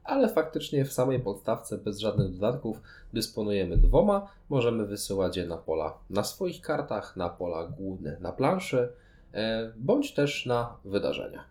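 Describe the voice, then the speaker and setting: Low-pitched man, explaining at length. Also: big pitch swings, normal speed, a little mellow. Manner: explanatory, wordy, long sentences. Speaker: podcast host. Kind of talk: conversational board game review.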